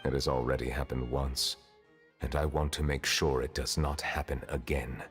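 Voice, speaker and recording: Solemn game chief justice, extremely low voice, formal, measured, courteous, hall reverb. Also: male voice, little pitch movement. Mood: grave. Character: solemn, dignified chief judge, ancient, reserved and courteous. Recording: reverberant hall, faint background music.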